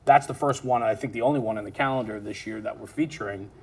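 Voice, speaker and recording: A man's voice: on the low side, quick pace, gravelly, husky. A man hosting a conversational interview, enthusiastic and often checking in with his listener. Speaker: interview host. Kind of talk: conversational interview about fire apparatus.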